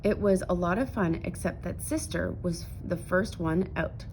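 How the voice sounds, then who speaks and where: A woman narrating a children's picture book aloud in an expressive storytelling style. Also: on the low side, normal speed, a little hiss or hum, low-quality recording.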